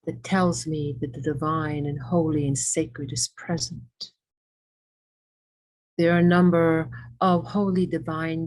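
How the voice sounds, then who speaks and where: A woman leading a guided meditation with ASMR elements, speaking reverently in short, measured phrases with pauses between them. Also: lowish voice, darkish tone, low-quality recording.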